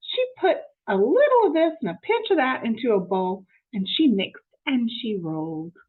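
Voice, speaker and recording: A woman reading a storybook aloud to young children in an expressive, teacherly way, with explanatory asides and questions to the listeners. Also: a little high, moderate pace, phone-quality sound.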